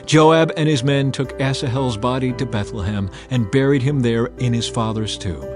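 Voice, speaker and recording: A man narrating scripture as a dramatized audiobook, in a measured, solemn storytelling manner. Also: mid-range voice. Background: music.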